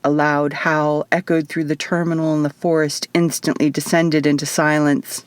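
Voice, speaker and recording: A woman reading first-person fiction as an audiobook narrator, in an expressive, measured storytelling manner. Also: low voice, smooth, dry recording. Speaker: author reading own audiobook.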